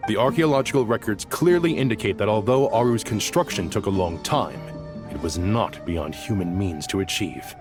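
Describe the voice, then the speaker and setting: A man plays a scholarly, matter-of-fact expert in a video game. He sounds determined, in a fairly low register. Clear music plays behind him. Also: normal speed, close to an everyday voice. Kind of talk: scripted video game cutscene acting.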